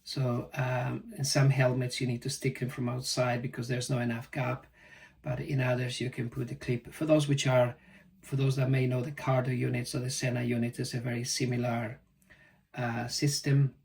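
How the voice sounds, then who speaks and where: Even-toned man, slightly distant mic. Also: mid-range voice, normal speed, creaky. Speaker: product reviewer. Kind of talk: casual unboxing commentary. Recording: distant mic, faint background noise.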